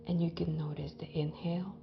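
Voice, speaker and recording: A woman leading a guided visualization in a gentle, hypnotic way, with long pauses and soft phrase endings that trail off toward a whisper. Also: sits low, level tone, gravelly, highs cut off. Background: background music.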